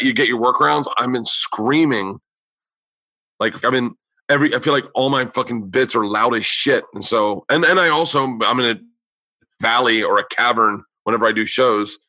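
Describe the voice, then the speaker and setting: Male comedian-host, casual swearing storyteller, bright tone, dry studio sound. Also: medium pitch, no room sound, low-quality recording. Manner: loose, profane storytelling with quoted voices. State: losing his voice. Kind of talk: casual chat.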